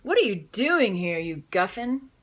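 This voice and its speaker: A woman's voice: mid-range voice, very animated, a little slow, fairly bright tone. A woman voicing a cheeky, fearless tiny girl in a children's storybook. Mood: bold, cheeky. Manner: cheeky, bold.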